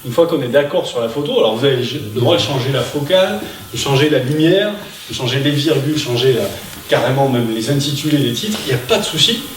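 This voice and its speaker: A man's voice: mid-range voice, lively intonation, fast. A man speaking at a public meeting, explaining things in an informal, plain-spoken, conversational way. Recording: roomy, distant mic, background noise.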